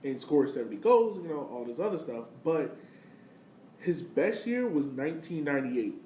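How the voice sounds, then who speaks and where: A man's voice: fairly high pitch, big pitch swings, moderate pace, warm tone. A man of thirty hosting a podcast, talking sport in a casual, slangy and enthusiastic way. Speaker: podcast host. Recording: roomy, distant mic, faint noise.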